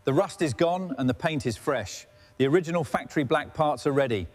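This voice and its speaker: A man's voice: fairly high pitch, a little fast, background noise. A man delivering scripted documentary-style narration in short, dramatic statements.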